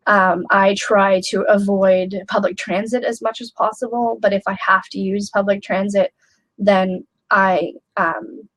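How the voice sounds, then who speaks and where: A woman's voice: medium pitch, dry recording, low-quality recording. Candid woman on a live chat, dry sound. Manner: candid, chatty, many "um" fillers. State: snuffly, getting over a flu. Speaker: host of a live question stream.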